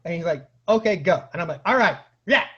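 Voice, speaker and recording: Male storyteller, high-pitched, casual and comic, acts out dialogue and noises, dull lo-fi recording. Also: expressive, fairly quick, a bit bright, slightly rough. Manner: acts out quotes and sound effects, bursts into exclamations. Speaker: storyteller and host of an online story series.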